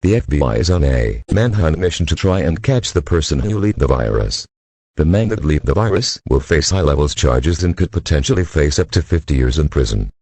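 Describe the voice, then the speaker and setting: A man playing a formal, grave news anchor reading a scripted bulletin in a measured delivery. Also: rumbling low, a little mellow, slightly rough, no echo, exaggerated voice.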